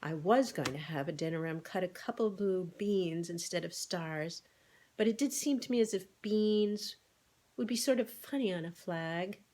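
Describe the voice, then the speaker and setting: A woman's voice: fairly low pitch, moderate pace, warm tone, a little hiss or hum, natural voice. Practical, talkative farm animal read by a woman. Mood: sheepish.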